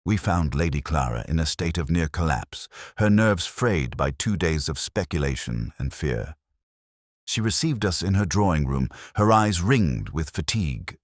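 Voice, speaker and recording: A man narrating a detective story in a measured, literary manner. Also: a bass voice, expressive, dry recording, clean studio sound. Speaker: audiobook narrator.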